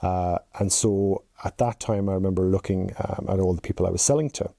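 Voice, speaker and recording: A very dark, mellow-voiced Irish man in his forties answers interview questions in a chatty, anecdotal way. His voice is low and gravelly, recorded dry and close. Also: fairly even intonation, a little fast, no room sound. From rural Ireland. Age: 40s. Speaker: podcast guest, chief executive.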